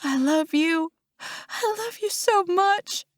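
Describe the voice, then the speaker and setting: Woman acting a frantic, high-pitched grieving woman in labor. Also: animated, slow pace, no room sound, studio quality, full character voice. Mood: grief-stricken. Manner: frantic, pleading, crying out. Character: desperate grieving woman in labor, pleading and screaming. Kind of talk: dramatic audiobook character acting.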